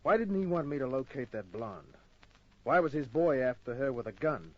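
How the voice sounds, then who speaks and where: Man playing a husky hard-boiled detective. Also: mid-range voice, animated, normal speed, close to an everyday voice. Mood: insistent. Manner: hard-boiled, dry, clipped. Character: tough, flirtatious wisecracking male private detective. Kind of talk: old-time radio crime acting and narration. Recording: muffled old radio recording.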